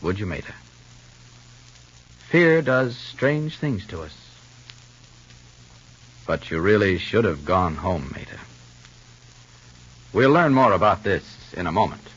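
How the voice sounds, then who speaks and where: Forceful American 1950s radio announcer, man. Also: low voice, big pitch swings, takes it slowly, long pauses, slightly rough. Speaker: announcer and narrator. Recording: dull vintage radio recording.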